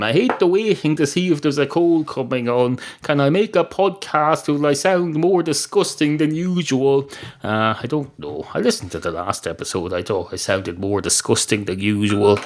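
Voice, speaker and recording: An Irish man hosting a solo comedy podcast, rambling through absurd tangents with many fillers while fighting off a cold. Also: medium pitch. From Ireland. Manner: absurd deadpan humor, frequent "uh" fillers, self-correcting tangents. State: coming down with a cold. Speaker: podcast host.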